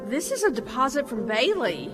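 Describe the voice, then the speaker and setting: An actress playing a principled, outspoken state bank examiner in a stage radio play, forthright and indignant. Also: female voice, high-pitched, sing-song, moderate pace, a bit bright. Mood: suspicious. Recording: reverberant theatre hall, music in recording.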